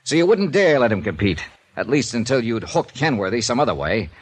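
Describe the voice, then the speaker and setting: An actor playing a hard-boiled, sardonic male insurance investigator with a brisk, accusing delivery, in a 1950s radio drama. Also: medium pitch, sing-song, breathy edge, no room sound.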